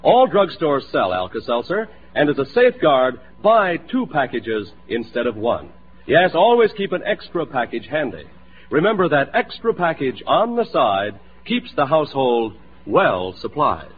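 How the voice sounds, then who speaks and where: A man's voice: mid-range voice, very animated, measured pace, husky. A male announcer delivering a folksy, emphatic 1940s radio commercial on an old lo-fi recording.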